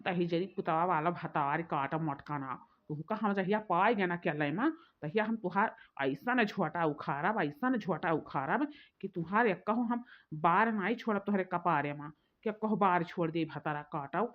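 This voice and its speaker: A woman voicing a fiery younger sister-in-law, with an Awadhi accent, complaining and sharp-tongued. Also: on the low side, normal speed, natural voice. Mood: furious. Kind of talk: cartoon voice acting. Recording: dull lo-fi recording.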